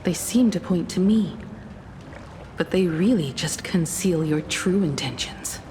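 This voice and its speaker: An actress playing a solemn, defiant woman in a video game, speaking in a measured, grave, accusing way. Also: on the low side, lively intonation, mellow, big-room sound, soft background music. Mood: cold, accusing.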